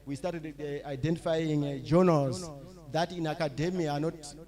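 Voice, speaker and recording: A middle-aged man from Zimbabwe giving a public lecture, talking in a halting way with many fillers and restarts. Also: on the high side, fairly even intonation, crowd noise. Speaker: lecturer, associate professor.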